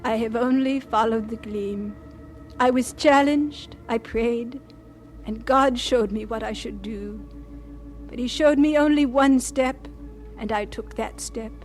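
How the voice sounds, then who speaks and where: Radio drama lead: aged missionary doctor, humble, reflective, slightly high voice, music underneath. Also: female voice. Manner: measured, earnest, reverent. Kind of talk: radio drama first-person narration.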